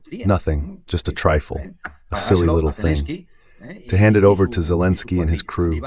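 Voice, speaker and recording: Deep-voiced man, emphatic political commentator. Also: a little mellow. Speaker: political analyst guest. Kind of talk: political commentary, translated voice-over in English.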